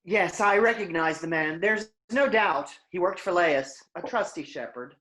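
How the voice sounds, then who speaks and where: A woman playing a cautious, respectful elder of a Greek chorus, speaking in a measured, grave way in a staged reading. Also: fairly low pitch, lively intonation, fairly bright tone. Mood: assured.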